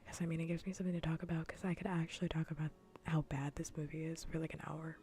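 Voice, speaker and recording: A woman livestream host chatting casually in a soft, breathy, mostly whispered ASMR voice, rambling informally and repeating phrases for emphasis. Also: deep voice, little pitch movement, fast, faint music behind.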